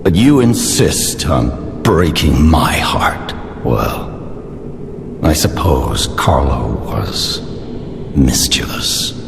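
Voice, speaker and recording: Deep, menacing, obsessive father. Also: very animated, creaky, reverberant, exaggerated voice. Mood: cold disappointment. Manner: slow, paternal, coldly condescending. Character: obsessive, menacing father and puppet maker, older man. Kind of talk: dramatic video game cutscene acting. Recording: loud orchestral game soundtrack behind. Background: loud music and cinematic booms.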